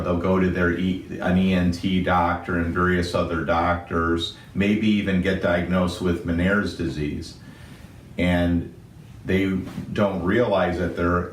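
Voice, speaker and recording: A male doctor with a booming voice, calmly explaining a medical condition in an unscripted talk. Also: far from the mic, slight background noise. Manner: steady, methodical explaining in medical terms. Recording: roomy echo, somewhat off-mic, faint ambience.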